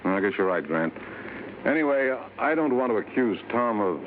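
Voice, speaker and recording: A man's voice: medium pitch, big pitch swings, moderate pace, a little hiss or hum, close to an everyday voice. Tinny old-radio American businessman played by a man. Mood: guarded. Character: hearty, hesitant wealthy advertising man, stubborn husband. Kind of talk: 1950s radio drama acting.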